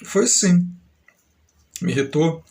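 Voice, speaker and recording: Middle-aged São Paulo man, slightly high voice, grandiose and aggrieved, rambling to camera. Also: lively intonation, takes it slowly, darkish tone. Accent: São Paulo Brazilian Portuguese. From Brazil. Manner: grandiose, aggrieved, meandering with uneven pace.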